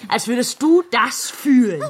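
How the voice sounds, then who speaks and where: A woman's voice: fairly high pitch, lively intonation, unhurried, slightly rough. An actress in a radio play voicing an enraged, desperate woman who threatens and commands with biting sarcasm. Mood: frantic, demanding. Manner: menacing, sarcastic, commanding, unhinged. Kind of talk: radio drama acting.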